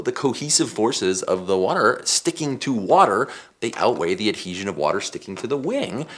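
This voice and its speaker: A man's voice: mid-range voice, a bit bright. An American man in his forties hosting a science explainer, talking to camera in a casual, emphatic, conversational way. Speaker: science show host. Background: background music.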